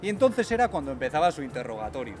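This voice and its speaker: A man's voice: medium pitch, very animated, rapid-fire, music in the background. A man with a Spain Spanish accent hosting a conversational explainer video in an articulate, explanatory way. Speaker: video host.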